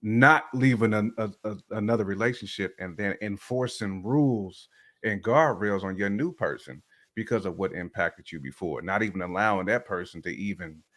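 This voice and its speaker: Male, low voice. Emphatic, opinionated casual talk, repeats words for punch. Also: moderate pace, a bit bright. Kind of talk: casual conversational commentary.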